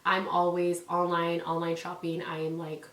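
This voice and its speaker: A woman's voice: lowish voice, monotone, normal speed, a bit bright, recorded from a distance. A young woman from California vlogging casually about parenting, rambling with lots of "like" and "um," sounding stuffy and congested from a cold. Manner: rambling, many "like"s and "um"s. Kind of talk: casual chatty vlog. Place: indoors at home. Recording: echoey room, away from mic.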